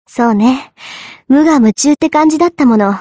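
A woman's voice: high voice, normal speed, warm tone, no room sound, clean studio sound, lightly acted. A woman playing a level-headed, bossy but caring young woman in an anime visual novel, frank, composed and assertive. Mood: composed, firm.

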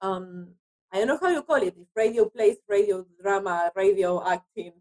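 A woman's voice: medium pitch, normal speed, darkish tone, rough recording. A woman from Argentina, a native Spanish speaker with an Argentinian accent, talking conversationally as an interview guest about her work as an actress.

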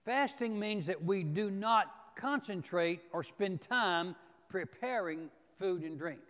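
This is a middle-aged man with a notably high voice. He teaches scripture like a preacher, folksy and emphatic, setting phrases apart with pauses. The long reverb of a big church hall trails his words. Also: animated, a bit bright. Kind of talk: expository Bible teaching, reading and paraphrasing scripture. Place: large empty church auditorium, indoors.

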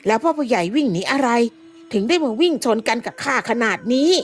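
A woman voicing a rough, cheeky male villager who curses and teases in a fawning way. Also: high voice, expressive, fast, voice pushed up. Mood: teasing fawning.

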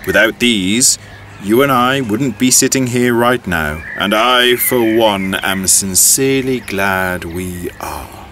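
A man's voice: lowish voice, slow, background noise. An actor playing a hesitant, earnest widowed grandfather in an audio drama. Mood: hopeful, sincere. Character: hesitant, wistful widowed grandfather on a first date.

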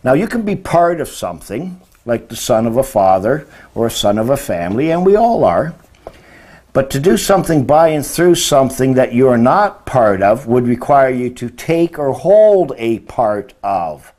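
A man's voice: medium pitch, very animated, moderate pace, darkish tone. Didactic older man giving an informal lecture. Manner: didactic, emphatic, poses rhetorical questions. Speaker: religious teacher. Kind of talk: informal lecture explaining word meanings. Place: indoor room beside a presentation screen.

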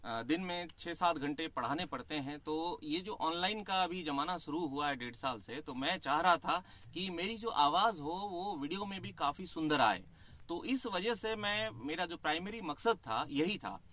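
A man's voice: on the high side, animated, normal speed, bright, forceful tone. A middle-aged man from Jharkhand giving an earnest spoken testimonial, mixing English phrases into his talk. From Jharkhand, India. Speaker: chemistry teacher, course participant. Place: small studio room, indoors. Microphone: studio condenser mic on a boom arm.

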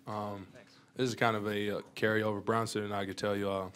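A man's voice: on the low side, little pitch movement, fairly quick, fairly bright tone. A young man, a college basketball player, answering questions at a postgame press conference with a confident, playful manner, speaking into a table mic. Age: young adult. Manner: confident, playful, talks in long runs. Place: press conference room with sponsor backdrop. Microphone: table mic in front.

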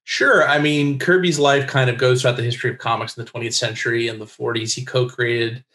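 A man's voice: mid-range voice, steady tone, fairly quick, a bit bright. Middle aged american guy chatting and explaining in an interview, kinda echoey room. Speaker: interview guest, comics writer. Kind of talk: casual interview chat. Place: book-lined room at home on video call. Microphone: desk microphone on video call. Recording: roomy, echoey home recording.

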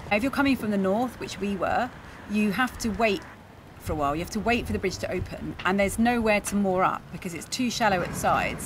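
A woman in her forties narrating a casual sailing travel vlog, talking to camera. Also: medium pitch. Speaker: travel vlogger, sailor. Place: outdoors on a harbour quayside. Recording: noisy outdoor waterside ambience.

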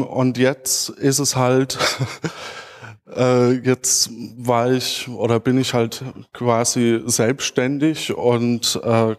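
Man in his thirties, informal conference speaker. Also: mid-range voice. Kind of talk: informal talk answering audience questions. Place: large auditorium stage. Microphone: handheld microphone.

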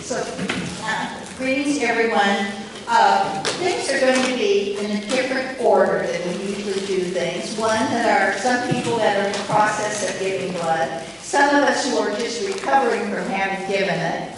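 A woman's voice: mid-range voice, moderate pace. A woman informally welcomes an audience and introduces a guest as host. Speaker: club host introducing a speaker. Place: large hard-walled meeting room. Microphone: handheld mic, heard through room from camera. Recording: echoey hall PA from far off, noisy.

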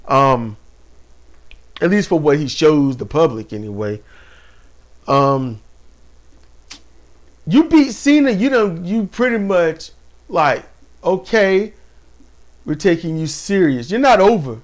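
A man co-hosting a casual wrestling talk show, animated and laughing often, speaking to viewers as y'all. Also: on the high side, slow pace, long pauses. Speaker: podcast co-host. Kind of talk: casual chat about wrestling.

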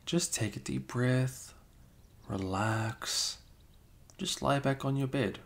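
Slow gravelly-voiced man, soft-spoken. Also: mid-range voice. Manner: soft-spoken, drifting into whispers, hesitant. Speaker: ASMR roleplay creator. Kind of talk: improvised ASMR roleplay as a best friend. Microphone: close microphone.

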